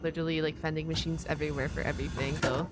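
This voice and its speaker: A woman doing casual, chatty reaction commentary, exclaiming and thinking out loud in an informal way. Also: deep voice, little pitch movement, racing, over music. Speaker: reaction video streamer and host.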